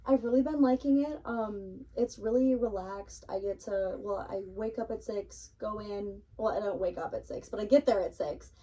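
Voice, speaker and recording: A young woman in a casual podcast conversation, with a soft-edged husky voice and a mellow tone. She talks informally and chattily, picked up a little away from the microphone. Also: mid-range voice, normal speed, few pauses, recorded from a distance, low-quality recording. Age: young adult. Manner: chatty, informal, frequent "like" filler. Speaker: cake decorator being interviewed.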